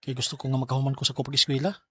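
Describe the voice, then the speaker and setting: A man's voice: mid-range voice, level tone, racing, a bit bright, slight background noise, close to an everyday voice. A man playing an earnest, hesitant student who is smitten and dreams of law, acted in Bisaya radio drama style. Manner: hesitant, stammering. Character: earnest male student, studious, smitten aspiring lawyer. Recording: music under the dialogue.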